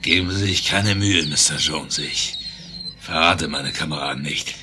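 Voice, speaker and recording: Casting: melancholic dying outlaw for western radio drama, deep, faltering, loyal; clearly noisy outdoor birdsong behind. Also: male voice, a little slow. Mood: weak, proud. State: weak, dying, halting speech. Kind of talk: German 1970s western radio play acting.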